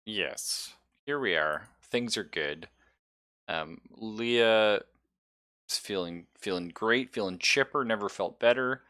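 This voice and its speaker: Low-voiced male game master, bright and dry. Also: slow, no echo. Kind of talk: casual live tabletop roleplay narration.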